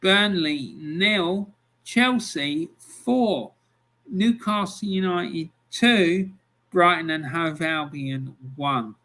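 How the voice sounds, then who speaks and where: Slow, bright-voiced football stream host. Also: male voice, fairly high pitch, poor audio. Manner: relaxed and chatty, with many ums. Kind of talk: casual live-stream chat, reading out scores and viewer comments.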